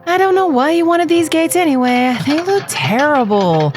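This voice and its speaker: A woman's voice: high voice, expressive, moderate pace, background noise. An actress playing an unimpressed, nagging wife and mother, plainspoken and matter-of-fact, in an audio horror drama. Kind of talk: audio horror anthology acting.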